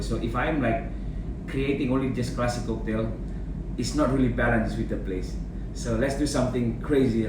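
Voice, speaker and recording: A middle-aged Indonesian man with an Indonesian accent, a bartender talking in an interview, halting with many fillers and repeated words. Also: fairly low pitch, noisy background. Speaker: bartender and bar founder. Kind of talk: interview monologue. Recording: roomy sound from a distant mic, clear background ambience.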